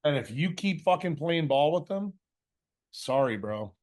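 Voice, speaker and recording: A man with a fairly high voice speaks without a script, leading a question session. He is blunt and confrontational, swears freely, and scolds his listener with pointed rhetorical questions. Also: lively intonation, measured pace, darkish tone. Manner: uses mocking imitations and rhetorical questions. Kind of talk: blunt unscripted lecturing in answer to a question.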